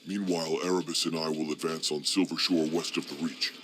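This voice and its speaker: A man voicing a scheming demon warlord in a game cutscene, speaking in a smug, slow, menacing manner. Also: extremely low pitch, flat delivery, fairly bright tone, slight background noise. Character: scheming male demon warlord, cold and commanding. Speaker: villain leader.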